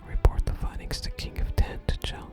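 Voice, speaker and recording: Whispered asmr game playthrough voice, hushed, music playing behind it. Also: normal speed. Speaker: ASMR gaming YouTuber. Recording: soft music under the whisper.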